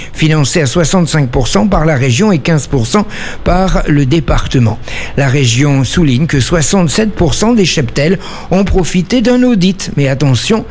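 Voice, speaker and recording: A man's voice: on the high side, a little mellow. A man hosting a local radio show, reading a news bulletin and then interviewing a guest with some hesitant fillers. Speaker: radio host. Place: radio studio.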